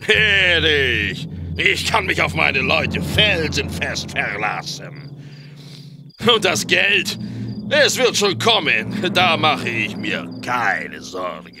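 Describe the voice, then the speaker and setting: A man plays a cocky bandit chief in a crime radio play, his high voice swinging widely and unhurried. He is boastful and defiant, with loud music and night insects behind him. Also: big pitch swings, exaggerated voice. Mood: confident. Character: swaggering, reckless male gang leader and smuggler robber. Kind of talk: German period crime audio drama.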